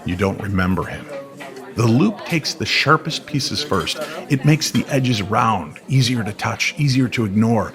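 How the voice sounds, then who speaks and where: A man playing a weary, enigmatic stranger in a radio drama, speaking in a calm, measured way. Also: lowish voice, music in the background. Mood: gently solemn. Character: weary, cryptic male stranger who knows hidden truths. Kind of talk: old-time radio drama acting. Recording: crowd chatter behind the voice.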